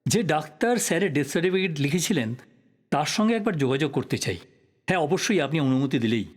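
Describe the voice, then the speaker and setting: A man's voice: a little high, expressive, fast. A man playing a polite but persistent investigative journalist in an audio drama, asking courteous questions conversationally. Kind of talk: Bengali audio drama acting.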